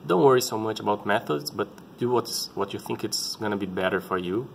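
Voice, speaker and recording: Halting Brazilian-accented man, low voice. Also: vocal fry, slight background noise. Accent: Brazilian Portuguese. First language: Portuguese. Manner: halting, earnest, often restarting to find words. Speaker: parent sharing his experience. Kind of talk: casual personal vlog talk.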